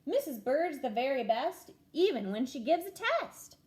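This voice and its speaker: A woman reads as a thoughtful little schoolboy in a children's storybook. He speaks earnestly and simply, in a fairly high, mellow voice with lively pitch. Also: unhurried. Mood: careful reciting. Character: sincere, thoughtful first-grade schoolboy.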